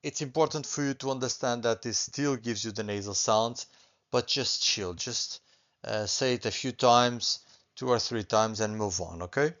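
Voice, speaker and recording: A man's voice: mid-range voice, dull audio. A Portuguese man with a Portuguese accent, teaching a beginners' language class in a patient, reassuring way that often repeats points and adds "okay?". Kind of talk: online language lesson.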